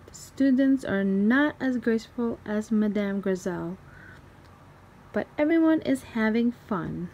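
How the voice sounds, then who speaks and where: A woman's voice: on the high side, measured pace, highs cut off. A woman reading a children's storybook aloud expressively as a storytime host, acting out the dialogue. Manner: expressive, acts out dialogue and sound effects.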